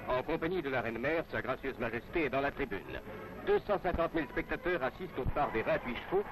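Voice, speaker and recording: A man's voice: medium pitch, lively intonation, fast talker, rough recording. A man narrating a scripted 1960s French newsreel in a formal announcer's manner, on a vintage film soundtrack. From France.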